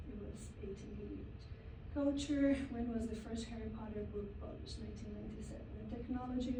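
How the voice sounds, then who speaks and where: A woman's voice: on the high side, a little hiss or hum. Brazilian woman presenting in an echoey hall. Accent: Brazilian Portuguese. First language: Portuguese. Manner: conversational, with frequent fillers and soft laughs. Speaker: language teacher presenting at a conference. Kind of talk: conference presentation. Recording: echoey hall recorded from a distance.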